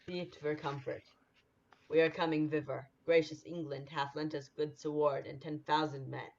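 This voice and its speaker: A young actor plays a noble exiled prince in a Shakespeare radio drama. The delivery is earnest, stately and measured, at a medium pitch. The sound is dull and lo-fi. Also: level tone, creaky, a little hiss or hum, close to an everyday voice. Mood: hopeful, stately. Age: young adult. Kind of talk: 1940s radio play Shakespeare acting. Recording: dull lo-fi audio.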